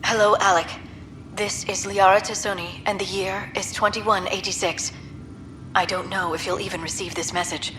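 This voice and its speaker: A woman playing a gentle, sorrowful alien scientist recording an earnest, solemn message in a video game. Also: medium pitch, natural voice, low-quality recording.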